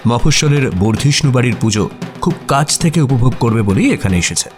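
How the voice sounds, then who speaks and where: A man acting as a detective's curious writer friend who tells the story himself. His mid-range voice moves with lively intonation in an expressive radio-drama style. Soft music runs behind the narration. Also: mid-range voice, a little fast, close to an everyday voice. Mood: descriptive narration.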